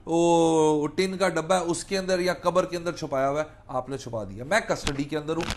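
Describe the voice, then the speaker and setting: A man's voice: fairly high pitch, moderate pace, slight background noise. A Pakistani man speaking as a law lecturer, explaining animatedly in mixed Urdu and English and repeating key phrases. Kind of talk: spoken lecture mixing Urdu and English legal terms.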